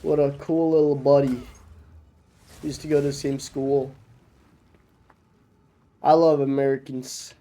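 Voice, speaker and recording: Young guy streaming a game, got a cough, rambles w/ lots of ums, kinda mellow dark tone. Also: medium pitch, level tone, measured pace, long pauses. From Norway. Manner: rambling, loose, with many fillers and restarts. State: sick with a cough. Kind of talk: casual chat with stream viewers while gaming.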